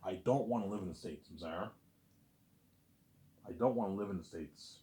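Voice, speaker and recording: A man's voice: deep voice, normal speed, warm tone. Man rambling with lots of restarts. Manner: repetitive, restarts words often; blunt, conversational, asks "you know what I'm saying". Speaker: vlogger. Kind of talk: casual rambling monologue.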